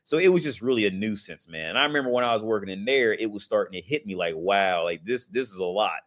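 A man's voice: on the low side, expressive, quick pace, fairly bright tone. A man in his thirties, talking in a rambling, casual monologue, swearing often and restarting his sentences. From United States. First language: English.

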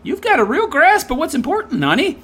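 A man's voice: very high-pitched, wildly swooping pitch, brisk, sharp tone. A man reading a text aloud and tearing into it with sarcastic, scornful commentary. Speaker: commentary video host.

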